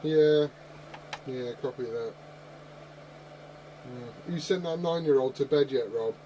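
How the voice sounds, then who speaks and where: A man's voice: medium pitch, slow, mellow. A man in his forties chatting casually as a CB radio operator and video host. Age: 40s. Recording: clearly noisy CB radio room ambience.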